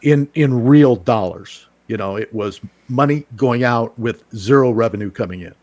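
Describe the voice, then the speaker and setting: A man speaking casually as a guest, halting and repeating himself as he explains. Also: mid-range voice, a little mellow, no room sound. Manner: halting, repeats himself, frequent "you know". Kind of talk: casual conversation.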